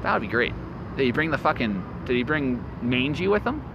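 A man's voice: mid-range voice, brisk, highs cut off. A man in his late twenties hosting a podcast, rambling casually and profanely through stories and notes. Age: late 20s. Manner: rambling, profane, animated storyteller. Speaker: podcast host. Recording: loud street traffic noise.

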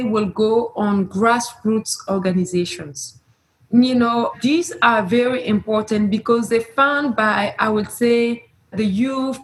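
A woman's voice: on the high side, low-quality recording. Earnest woman. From Burkina Faso. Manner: earnest, emphatic, non-native grammar. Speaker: panel speaker, grassroots activist. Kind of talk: panel discussion.

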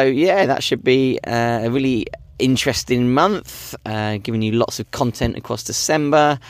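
Man, hoarse with a cold, low voice, dry close sound, chatty podcast host. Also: dry recording. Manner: chatty and rambling, many fillers. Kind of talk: casual chat.

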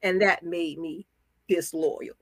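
A woman telling stories candidly and casually as a podcast guest, acting out the dialogue she quotes in an animated way. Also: on the low side, slow pace, no room sound, clean studio sound. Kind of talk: casual, candid storytelling in conversation.

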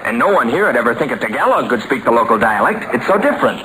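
An actor playing a steady, wary young all-American hero in a 1940s radio serial, speaking firmly and earnestly. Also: male voice, mid-range voice, fast, lightly acted. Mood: confiding. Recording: noisy old 1940s radio recording.

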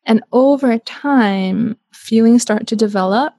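A woman in her thirties from Canada, a guest telling a story in a casual interview, chatty and animated with lots of "like". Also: fairly high pitch, measured pace, dry recording, studio quality.

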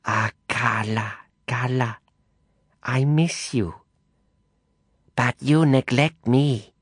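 The voice is a man doing a rasping, smoke-worn old madame who drawls her reproaches slowly and possessively. It sits high and swoops widely, close and dry to the mic. This is an audiobook reading. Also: no room sound. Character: imperious older woman, chain-smoker, jealous and spiteful.

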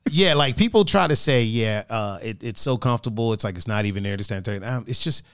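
A man hosting a casual chat show with a raspy voice, talking in a laid-back, profane, joking way and reading out chat comments. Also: fairly low pitch, animated, quick pace, dry recording, clean studio sound. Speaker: podcast host.

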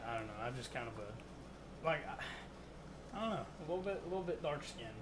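Young man, crude excitable banter, fairly high pitch, noisy background. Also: brisk. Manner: loud, laughing, crude and excitable. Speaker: podcast co-host. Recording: noisy podcast recording.

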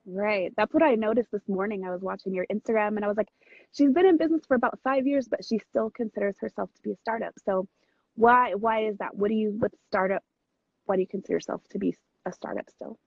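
A woman hosting a casual interview over a video call, with a slightly husky voice. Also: mid-range voice, quick pace, no echo. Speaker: vlogcast host and interviewer. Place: private room on a moving train. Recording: muffled, dry video call audio.

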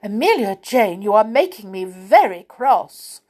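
A woman's voice: a little high, very animated, drawn-out words, mellow, breathy edge, full character voice. A woman voicing a fussy, easily enraged lady scold from a children's storybook, prim and insistent. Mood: prim, cross. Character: fussy, fierce female balloon-headed scold, easily enraged.

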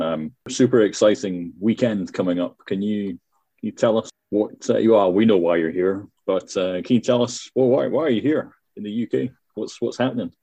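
A man's voice: deep voice, dark tone, no room sound. A man hosting a podcast interview, chatty, with frequent restarts. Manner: chatty, stumbling restarts, laughs often. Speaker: podcast host.

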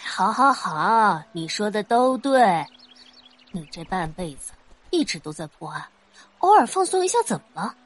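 An actress playing a detective's middle-aged wife in an audio drama, nagging and teasing with exasperated, drawn-out emphasis. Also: female voice, mid-range voice, big pitch swings, dry recording. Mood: humoring, teasing. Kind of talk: multi-cast audio drama acting.

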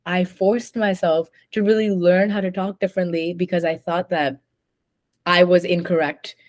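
A young woman from India with a softened Indian English accent, a podcast host talking in an earnest, engaged way. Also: lowish voice, fairly even intonation, normal speed, no room sound, studio quality. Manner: earnest, engaged, lots of likes. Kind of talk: conversational interview.

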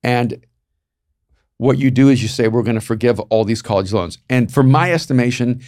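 A man's voice: medium pitch, normal speed, a little mellow. A man from El Salvador speaking emphatically in an opinionated panel discussion, often repeating his points.

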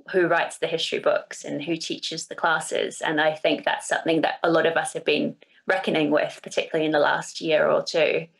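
Low creaky-voiced New woman. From New Zealand. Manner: thoughtful, hesitant with fillers. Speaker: interviewer. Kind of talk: casual interview chat.

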